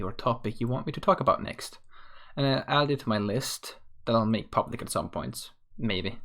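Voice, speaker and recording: A man's voice: medium pitch, fairly bright tone. A young man from Sweden with a Swedish accent, reading a self-mocking solo rant from a script as host of his own show. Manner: offhand, self-mocking, reads script aloud. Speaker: podcast host.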